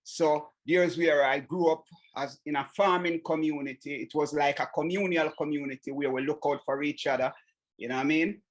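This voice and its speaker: A Jamaican man, a singer, answering interview questions in a relaxed way and often adding "you know what I mean". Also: a little high, normal speed, rough recording. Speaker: singer and songwriter guest.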